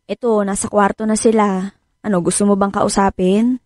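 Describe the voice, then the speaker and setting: A woman's voice: mid-range voice, fairly even intonation, normal speed, darkish tone, no room sound, clean studio sound, lightly acted. An actress playing a caring, inquisitive wife and mother in her thirties in naturalistic radio drama dialogue. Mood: reassuring. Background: music bed.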